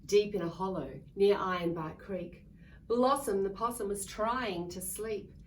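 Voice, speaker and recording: A woman's voice: medium pitch, unhurried. A woman reading a picture book aloud to young children in a sing-song way, coaxing them to join in. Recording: distant mic with faint background noise.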